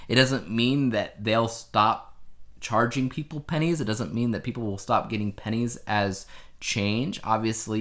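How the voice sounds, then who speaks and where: A man's voice: on the low side, a bit bright. Casual male podcast host. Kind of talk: casual news chat.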